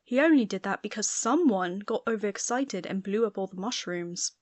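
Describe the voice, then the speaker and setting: A woman's voice: mid-range voice, expressive, moderate pace, darkish tone, no echo, natural voice. A woman voicing a blunt, chiding teenage schoolboy, reasonable but exasperated, in an audiobook.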